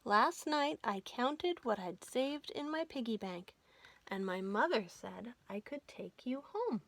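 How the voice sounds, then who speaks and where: A woman's voice: a little high, expressive, takes it slowly, fairly bright tone, full character voice. A woman voicing a sweet, affectionate and determined little girl in a storybook, polite and tender. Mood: happy, affectionate.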